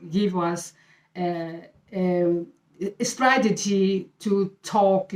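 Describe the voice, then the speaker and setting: Brazilian lady talking about faith, slow, lots of ums and uhs, pretty roomy echo. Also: mid-range voice, darkish tone. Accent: Brazilian Portuguese. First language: Portuguese. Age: middle-aged. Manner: halting, searching for words with many fillers. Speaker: channel host. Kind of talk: casual faith talk to camera. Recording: echoey room.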